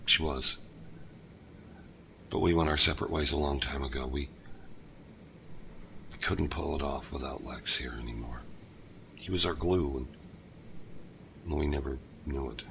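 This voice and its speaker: A gravelly grieving father. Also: rumbling low, unhurried, long pauses, pushed and bright, a little hiss or hum, full character voice. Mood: probing. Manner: gruff, questioning, breaks into anguished outbursts. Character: grieving older father haunted by his dead son. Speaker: narrator and lead character. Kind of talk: radio drama acting.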